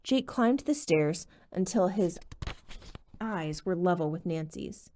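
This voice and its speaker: Woman audiobook narrator, lower voice, expressive storytelling. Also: takes it slowly, slight background noise. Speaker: audiobook reader.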